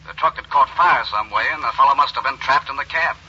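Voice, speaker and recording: An actor playing a matter-of-fact state police officer reporting over a telephone in a 1940s radio crime drama. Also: male voice, medium pitch, fast, pushed and bright, slight background noise. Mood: matter-of-fact, grim. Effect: telephone filter. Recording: thin phone line, old radio recording.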